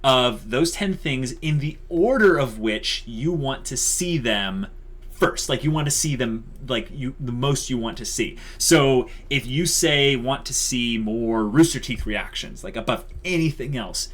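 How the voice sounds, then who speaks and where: A man with a medium-pitched voice talks casually to his online viewers as a channel co-host. His manner is informal and explanatory, like everyday conversation. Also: lively intonation, unhurried, fairly bright tone.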